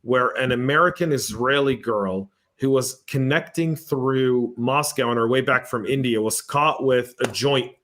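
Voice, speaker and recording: A man hosting a podcast panel, telling lively stories and reading out viewer comments in a casual, chatty way. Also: medium pitch, a little slow, hardly any pauses. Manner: animated storyteller, acts out exchanges. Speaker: podcast host.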